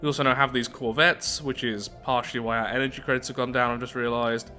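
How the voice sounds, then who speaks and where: A man's voice: medium pitch, flat delivery, quick pace, sharp tone, soft background music. A man giving casual commentary on a strategy game playthrough, thinking aloud as he goes. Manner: thinking aloud, musing to himself.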